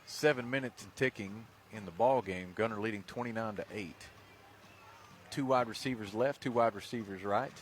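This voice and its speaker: A man with an American accent doing live high school football play-by-play, with folksy, joking banter between plays. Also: normal speed. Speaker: play-by-play announcer. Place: outdoors at a football game. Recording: noisy outdoor live sports broadcast. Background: stadium ambience.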